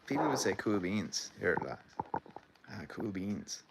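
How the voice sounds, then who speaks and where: Low-voiced Irish man chatting live. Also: very animated, unhurried, vocal fry, a little hiss or hum. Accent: Irish midlands. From Ireland. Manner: rambling, chatty, reads out viewer comments. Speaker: livestream host. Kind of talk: casual live commentary and chat. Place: home sitting room.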